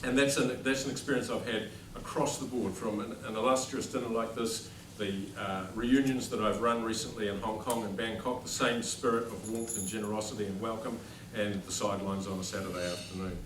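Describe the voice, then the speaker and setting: A middle-aged New Zealand headmaster delivers a dry, self-deprecating after-dinner speech, projecting his voice with no microphone. He is heard from well across a large dining hall. The room's echo and a steady murmur of noise surround him. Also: fairly low pitch, brisk, few pauses. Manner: self-deprecating, dry jokes, speaks without a microphone to a room. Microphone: no microphone, recorded from across the room. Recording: distant echoey hall with background noise.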